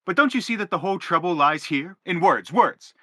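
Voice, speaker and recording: A man's voice: high voice, lively intonation, a little fast, sharp tone, no room sound, studio quality. A man playing an earnest, self-justifying father in a stage drama, speaking in an emphatic, declamatory way. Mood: pleading. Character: earnest, self-justifying father pleading his family's case. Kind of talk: theatrical stage play acting.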